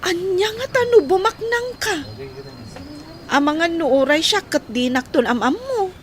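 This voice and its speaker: An actress playing a questioning, concerned young sweetheart in a radio drama. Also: female voice, very high voice, lively intonation, moderate pace. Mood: skeptical. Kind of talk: Philippine radio drama acting. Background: music under the voice.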